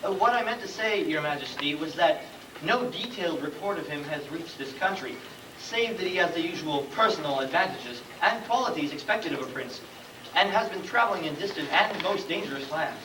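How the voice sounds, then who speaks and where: A man playing a deferential, long-winded royal chancellor in a stage comedy, formal and halting as he picks his words. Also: fairly high pitch, animated, lightly acted. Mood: flustered, formal. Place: school stage, live play performance. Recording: distant, noisy, echoey room recording of play.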